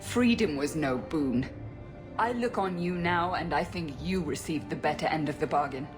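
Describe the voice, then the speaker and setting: A woman's voice: low voice, lively intonation, normal speed, over music. An actress playing a young elf woman, the hero's sister, who speaks in a defensive, pleading way. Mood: resentful.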